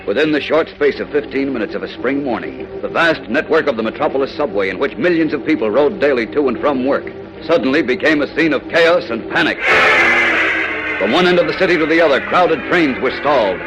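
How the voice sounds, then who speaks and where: A man's voice: mid-range voice, expressive, brisk, lightly acted, poor audio. A man acting as the grand, urgent announcer of a 1940s superhero radio serial, with emphatic delivery. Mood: dramatic. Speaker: announcer and narrator. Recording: old radio recording, music behind.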